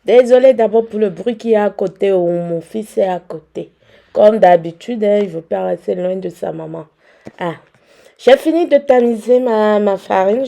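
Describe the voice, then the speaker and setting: A young woman from Benin, hoarse from a cold, chatting and teasing viewers as she demonstrates a recipe. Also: medium pitch, warm tone. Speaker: cooking vlogger. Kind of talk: casual cooking demonstration.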